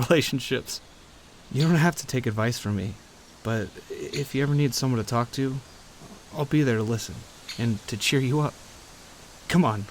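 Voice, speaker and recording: A man playing a teasing, warm-hearted young friend in an audio roleplay, conversational and given to playful grumbling. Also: medium pitch, a little hiss or hum, lightly acted. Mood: gentle, reassuring. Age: young adult. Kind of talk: audio roleplay acting, addressing the listener.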